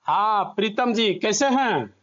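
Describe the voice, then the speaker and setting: A man's voice: high-pitched, lively intonation, takes it slowly, fairly bright tone, muffled recording. A man interviewing a guest for a news outlet over a call, putting probing questions.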